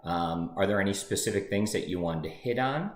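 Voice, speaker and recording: A man co-hosting a real estate talk show, chatting in an easygoing, halting way with filler words. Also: low-pitched, animated. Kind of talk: casual chat. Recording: echoey room.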